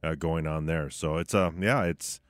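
A man's voice: extremely low pitch, steady tone, moderate pace, no echo. A man co-hosting a casual sports radio show from the studio, talking conversationally with hedges and asides.

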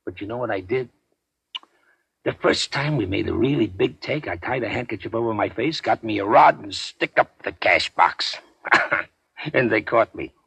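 Small-time crook, low husky voice, gravelly, hesitant, folksy, confiding, vintage radio crime drama. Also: male voice, lively intonation, normal speed, fairly bright tone, heavily acted. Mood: reminiscing. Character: rueful, rambling small-time gangster, once a hillbilly preacher.

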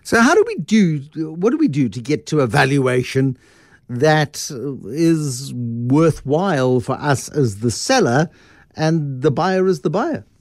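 Male host, higher voice, very animated delivery, dry close sound. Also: measured pace, dry recording. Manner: lively, conversational, prompting questions. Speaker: interview host. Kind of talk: podcast interview questions.

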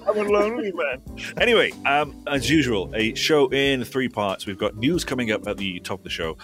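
A man's voice: mid-range voice, expressive, normal speed, a bit bright. A man hosting a radio car show, bantering jokily and mocking himself. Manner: jokey, self-mocking banter. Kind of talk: casual radio chat. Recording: music bed behind.

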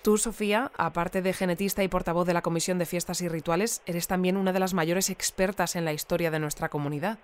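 An actress playing a courteous, composed bulletin host with an accent from Spain, in a fictional radio interview. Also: female voice, lowish voice, fairly even intonation, fast, few pauses, lightly acted. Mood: composed, friendly.

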